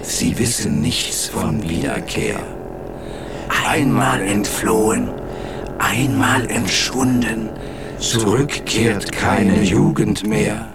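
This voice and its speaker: An actor playing a deadpan reciter of aphorisms and silly rhymes in an experimental radio play, with measured delivery. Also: male voice, fairly low pitch. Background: loud music.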